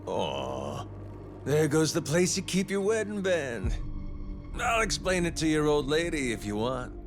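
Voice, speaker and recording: A man's voice: on the high side, huge pitch swings, unhurried, exaggerated voice. A man playing a menacing, playful yakuza pirate captain in a video game dub, with a theatrical, folksy, taunting manner. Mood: mocking menace. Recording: music behind the voice.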